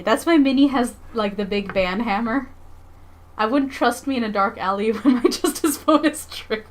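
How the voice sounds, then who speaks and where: A woman livestreamer chatting casually with her viewers in a playful, expressive, giggly manner. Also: mid-range voice. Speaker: live streamer and comics artist.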